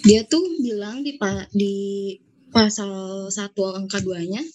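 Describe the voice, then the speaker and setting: A woman's voice: medium pitch, slow, a little mellow, slight background noise. A woman, chatting casually and informally as a podcast guest. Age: 20s. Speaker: podcast guest, law student. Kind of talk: casual conversation.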